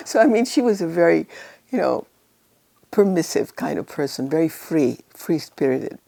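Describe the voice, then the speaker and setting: A woman's voice: low voice, big pitch swings, a little slow, gravelly, warm tone. A woman, recounting her life story in a casual interview, rambling along and laughing often. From Italy. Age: 80s. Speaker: actress interviewee.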